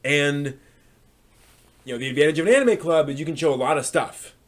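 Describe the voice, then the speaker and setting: A man's voice: fairly high pitch, expressive, fairly quick. A man hosting a live stream, talking casually and unscripted to viewers, often thinking aloud in a hesitant, rambling way.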